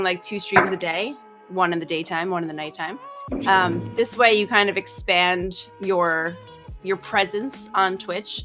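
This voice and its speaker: A woman's voice: fairly low pitch, bright, forceful tone. A woman guest on a podcast, chatty and earnest, sharing advice with many ums and likes. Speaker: streamer guest. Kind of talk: casual advice podcast chat.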